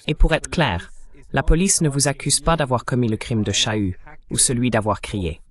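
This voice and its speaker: A man's voice: mid-range voice, moderate pace, dry recording. A man hosting an interview who asks probing, clarifying questions, voiced over in French. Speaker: interviewer, host.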